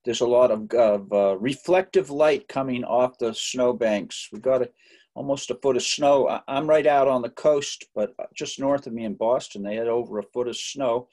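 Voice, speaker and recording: A man's voice: medium pitch, moderate pace, darkish tone. Middle-aged man, musician guest, chatty storyteller with dry jokes and lots of restarts. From Massachusetts. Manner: chatty, joking, many fillers and restarts. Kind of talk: relaxed interview storytelling.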